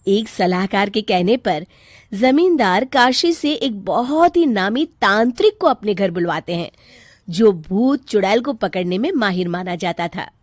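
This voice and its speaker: A woman's voice: medium pitch, expressive, a little slow, no echo, studio quality. A woman reading scripted narration for a cartoon folk tale.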